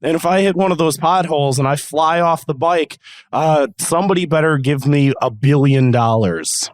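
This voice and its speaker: A man's voice: fairly high pitch, moderate pace, no room sound. Sick man with a fading voice. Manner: rambling, explanatory, lots of fillers. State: sick and losing his voice. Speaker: podcast co-host. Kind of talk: casual chat.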